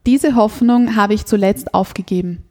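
A woman's voice: mid-range voice, normal speed, a little mellow. A woman putting questions to a guest as a podcast interviewer in a conversational way.

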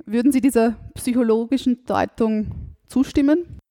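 A woman asks formal, thoughtful interview questions in a fairly high, warm-toned voice. The recording is close and dry. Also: normal speed, no echo.

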